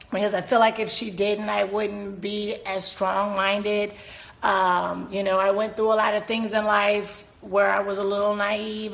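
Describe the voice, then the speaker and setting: A woman from Texas telling casual personal stories in a relaxed, chatty way. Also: mid-range voice, creaky. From Texas, San Antonio. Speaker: singer and hairdresser.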